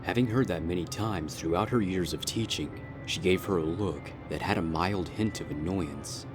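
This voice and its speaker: A man's voice: low voice, normal speed. A man reading scripted dramatic fiction as the narrator. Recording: music under the voice.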